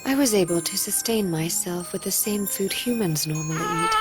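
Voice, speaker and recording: An actress in an anime dub playing a calm, coolly detached female parasite in human form, speaking matter-of-factly over music. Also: medium pitch, normal speed, natural voice. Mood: cool, confessional.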